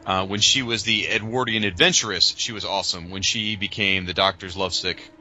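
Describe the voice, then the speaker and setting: A man's voice: sits low, steady tone, fairly quick, sharp tone, lo-fi sound, faint music behind. A man co-hosting a podcast, talking casually and emphatically with a fan's strong opinions. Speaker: podcast co-host. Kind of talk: casual fan discussion.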